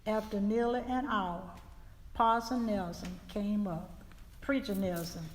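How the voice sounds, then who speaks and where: A woman's voice: mid-range voice, fairly even intonation, a little hiss or hum, natural voice. A woman playing a grieving 19th-century widow, recounting events solemnly in a dramatic reading. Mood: sorrowful, subdued. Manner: slow, deliberate, solemn storytelling. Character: grieving widow recounting her husband's murder, 1875 Mississippi. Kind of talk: dramatic reading of historical testimony. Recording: reverberant hall.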